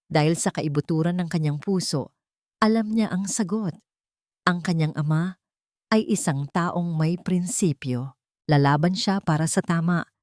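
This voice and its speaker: A woman storyteller narrates a romantic drama from a script. Her voice sits a little low for a woman, and the sound is dry and close, with very little room around it. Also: a little mellow, no echo.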